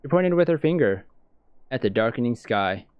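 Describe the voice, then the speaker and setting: A man's voice: medium pitch, lively intonation, moderate pace. A man reading visual novel narration aloud, as in a let's-play. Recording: dull lo-fi sound, music behind.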